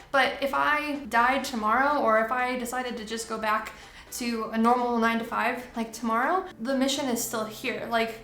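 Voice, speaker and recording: Young woman missionary, echoey room. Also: a little high. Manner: relaxed, many "like" fillers, laughs easily. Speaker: missionary in Taiwan. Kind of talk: casual Q and A chat.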